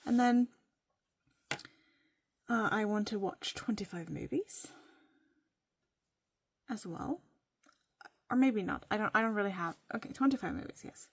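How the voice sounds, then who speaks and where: A woman from Norway, whose first language is Norwegian, chatting casually in a playful, rambling way that sometimes drops to a soft whisper while she writes in her journal. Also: mid-range voice, a little slow, pauses often, husky. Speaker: vlogger.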